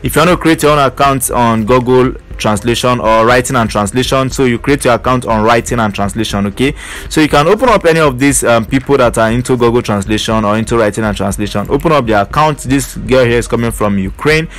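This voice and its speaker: A man's voice: mid-range voice, fast talker, fairly bright tone. A man teaching an online earning method in a casual screen-share walkthrough, leaning on fillers like "basically" and "you know". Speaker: online money-making tutor.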